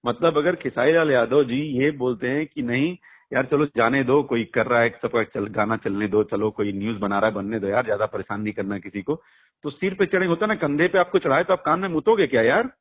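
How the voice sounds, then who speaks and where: A man's voice: mid-range voice, fairly quick, slightly rough. A man talking bluntly and emphatically in a heated phone conversation, arguing his case with rhetorical questions. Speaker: music artist's manager being interviewed. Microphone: phone call. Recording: muffled lo-fi phone call recording.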